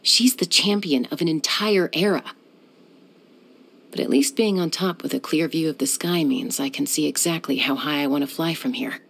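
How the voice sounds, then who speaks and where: An actress voicing a cool, confident young woman who is a sky-hunter and peacemaker in a video game, speaking in a calm, composed, measured way. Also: low-pitched, vocal fry, breathy edge, full character voice. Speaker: game character.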